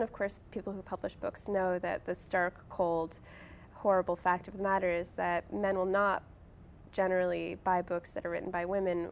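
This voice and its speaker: A woman's voice: mid-range voice, gravelly, a little mellow, slight background noise. A young woman speaking as an interview guest, casually and informally, often hedging with filler words like "like" and "sort of". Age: young adult. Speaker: interview guest, author and blogger.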